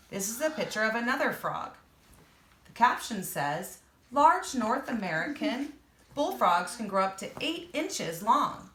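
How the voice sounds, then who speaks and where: In an echoey room, a woman with a mid-pitched voice reads nonfiction aloud for young children. She talks straight to her listeners like a teacher, stepping out of the text to explain things simply. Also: pushed and bright.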